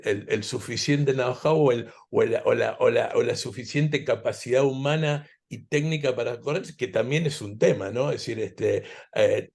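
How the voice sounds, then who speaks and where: A man's voice: mid-range voice, vocal fry, darkish tone. An Argentine man hosting an interview, reflecting conversationally and often repeating himself as he searches for words. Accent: Argentine Spanish. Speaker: interview host.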